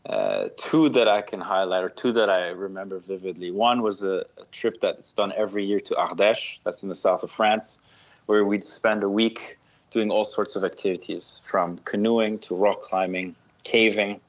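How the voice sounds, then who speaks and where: A man in his thirties from Switzerland, a guest telling relaxed stories in an interview, with fillers and laughter along the way. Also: sits low, moderate pace. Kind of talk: casual interview.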